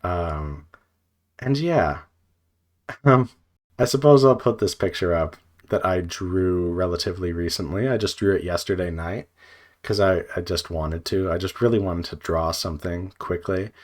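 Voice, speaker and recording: A man with a low voice speaks casually and without a script. He thinks aloud in a rambling, loosely organized way, pausing often and leaning on filler words as he feels his way through each thought. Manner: hesitant, frequent filler "like" and trailing pauses. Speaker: video creator, indie visual novel developer. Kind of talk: unscripted casual vlog monologue.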